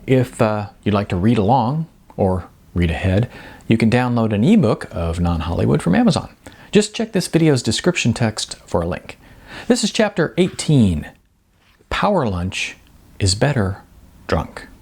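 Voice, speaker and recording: Expressive man reading his own novel. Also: fairly low pitch, moderate pace, creaky, slightly rough. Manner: expressive, wry storytelling. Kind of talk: audiobook-style reading.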